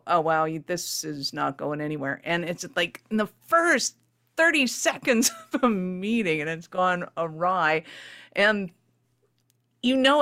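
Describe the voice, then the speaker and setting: A woman's voice: fairly low pitch, a bit bright. A woman hosting a business podcast, enthusiastic and bubbly as she chats with her guest. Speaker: podcast host. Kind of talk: upbeat interview chat.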